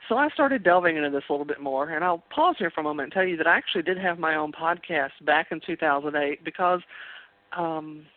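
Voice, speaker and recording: A woman guest on a radio interview, explaining in a friendly, measured way. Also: low voice, lively intonation, no echo. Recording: tinny dry phone line.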